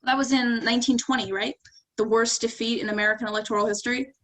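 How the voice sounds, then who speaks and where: Young female date for a play reading, played by an actress: wry, teasing, casual, slightly hesitant, higher-pitched. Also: steady tone, quick pace, fairly bright tone, a little hiss or hum, natural voice, poor audio. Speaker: actor in a staged play reading. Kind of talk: virtual staged reading of a comedy play.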